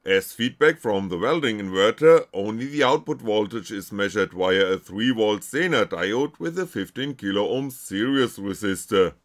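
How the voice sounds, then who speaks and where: A man's voice: lowish voice, lively intonation, normal speed, hardly any pauses. A man reading scripted technical narration as a maker presenting his own project.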